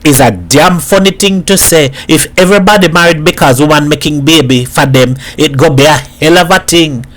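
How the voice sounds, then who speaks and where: Casting: streetwise Trinidadian man, blunt and teasing, fairly high voice, colloquial storybook dialogue performed by a male reader. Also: normal speed, a bit bright, lightly acted. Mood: incredulous.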